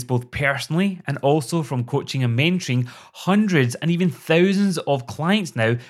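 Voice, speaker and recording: A middle-aged Scottish man hosting a podcast, speaking as a performance coach in an upbeat, earnest, motivational monologue. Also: mid-range voice.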